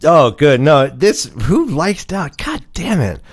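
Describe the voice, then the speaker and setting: A man's voice: a little high, very animated, a little slow, slightly rough. A man hosting a casual sports talk show, with playful, theatrical emphasis in his delivery. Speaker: host.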